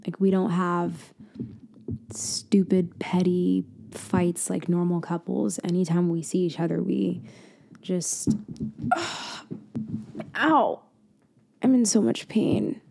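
A woman speaks slowly and softly, as in an intimate ASMR girlfriend roleplay, her voice lowish and edged with vocal fry. She drifts between a hushed murmur and a breathy whisper. She pauses, trails off and restarts her thoughts.

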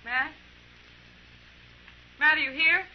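A woman's voice: high voice, slow. A headstrong woman of the Old West, pleading earnestly and desperately. It is old radio drama acting. The sound is muffled, with light hiss. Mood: insistent, pleading. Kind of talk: 1950s radio western acting. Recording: noisy, band-limited old radio recording.

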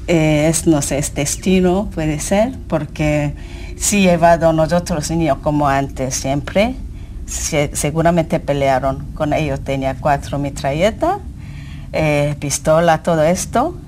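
A female interviewee with a Korean accent and a low voice. She speaks haltingly in broken grammar, with faint background noise. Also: darkish tone. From South Korea.

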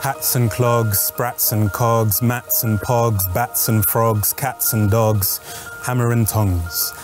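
Slow rhythmic male poetry reader. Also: medium pitch, steady tone, over music. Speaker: poet. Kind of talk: poetry reading.

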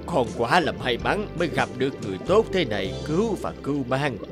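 A man's voice: mid-range voice, very animated, fairly quick, music in the background, lightly acted. A man voicing a benevolent old sea king in a cartoon, stately and magnanimous. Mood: gracious, stately. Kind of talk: cartoon dialogue.

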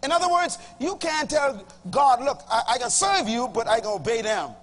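A middle-aged Bahamian man preaching a sermon to a congregation, emphatic, swinging from loud bursts to low asides. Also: sing-song, normal speed. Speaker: pastor. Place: large church auditorium with a congregation. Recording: reverberant hall, faint hum.

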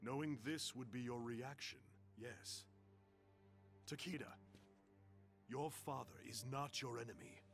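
Honorable clan master, medium pitch, stern, formal, authoritative, game drama, faint music. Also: male voice, a little slow, pauses often, a bit bright. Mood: firm. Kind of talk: dramatic video game acting.

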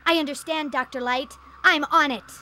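Super high bright little robot boy hero, eager n earnest, game cartoon dub. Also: fairly even intonation, moderate pace, a little hiss or hum. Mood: earnest, curious. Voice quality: childlike. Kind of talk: animated game cutscene dub.

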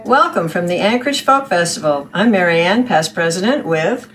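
A woman's voice: fairly low pitch, lively intonation, moderate pace, over music. A woman in her sixties giving a scripted promotional announcement, recorded indoors a few steps from the camera. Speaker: festival past president. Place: indoor room by a large window.

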